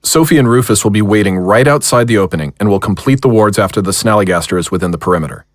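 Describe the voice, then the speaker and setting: A man's voice: lowish voice, quick pace, dry recording, clean studio sound, natural voice. A man playing a commanding monster hunter who leads a ritual battle in an audio drama. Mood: focused.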